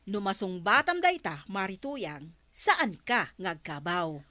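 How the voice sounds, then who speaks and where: A woman's voice: medium pitch, big pitch swings, slow pace, pushed and bright, no room sound, full character voice. A woman playing a teasing village storekeeper in an Ilocano audio drama, with an Ilocano accent. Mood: teasing, amused.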